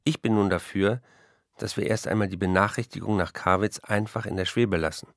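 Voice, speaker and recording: A man's voice: lowish voice, fairly even intonation, a little fast, gravelly, dry recording, clean studio sound. A man reading the letters and memoirs of a weary, despairing middle-aged writer in a subdued, resigned manner. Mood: worried. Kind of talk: broadcast documentary reading.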